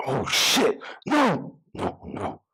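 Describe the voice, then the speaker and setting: A man's voice: medium pitch, wildly swooping pitch, extremely slow, gravelly, bright, forceful tone, slightly rough, full character voice. An actor playing a reserved, brooding young man in an understated, terse way at a table read. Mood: alarmed. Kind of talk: live screenplay table read, acting.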